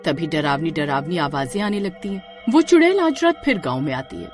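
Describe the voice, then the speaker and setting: A woman narrating a scripted horror story in a dramatic, expressive way. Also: low-pitched, a little fast, soft background music.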